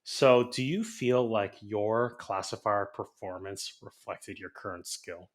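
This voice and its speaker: A young man from Hawaii co-hosting a podcast, easygoing and quick to laugh. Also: fairly low pitch. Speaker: podcast co-host. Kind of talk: casual chat.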